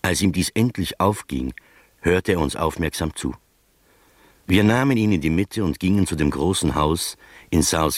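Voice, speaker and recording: Radio drama narrator, male actor: earnest, slightly anxious gentleman, low-pitched, articulate, dry sound. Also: dry recording, close to an everyday voice.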